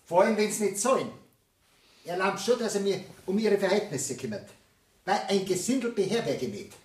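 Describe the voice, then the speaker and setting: An actor playing a grumpy, threatening landlord in a radio play, blustering in Bavarian dialect. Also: male voice, high-pitched, expressive, normal speed, darkish tone, roomy sound. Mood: indignant, threatening. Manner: gruff, indignant, blustering. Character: grumpy, threatening landlord demanding his rent.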